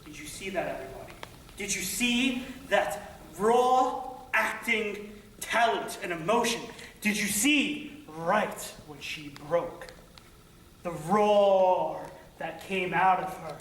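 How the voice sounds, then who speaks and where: A flamboyant, gushing theater director, played by a man, in a high, bright voice that lingers on every word. The sound is distant and booms around a very echoey room. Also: lively intonation, a little hiss or hum, exaggerated voice. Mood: effusive. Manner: slow, effusive, dramatic praise. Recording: very echoey room, mic far off.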